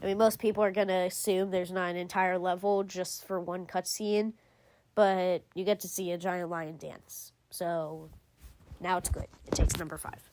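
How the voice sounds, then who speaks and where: A woman's voice: fairly low pitch, fairly even intonation, moderate pace, darkish tone. A woman giving casual voice-over commentary as a video creator, rambling with lots of "like" and frequent self-corrections. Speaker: video creator ranking game cutscenes.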